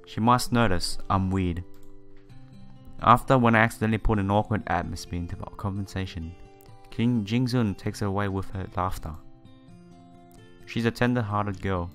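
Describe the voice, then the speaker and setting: Male let's player, lowish voice, halting read-aloud of story text over soft game music. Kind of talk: reading visual novel text aloud. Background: visual novel background music.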